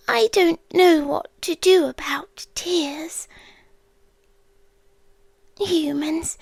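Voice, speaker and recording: British woman acting as lovelorn female end-of-the-world entity, ASMR roleplay, soft breathy whisper, unhurried pacing, dry close-mic sound, tender, melancholy. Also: very high-pitched, dry recording, full character voice. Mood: sorrowful, resolute. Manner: slow, hushed, intimate, with pauses. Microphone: close microphone in a dry space.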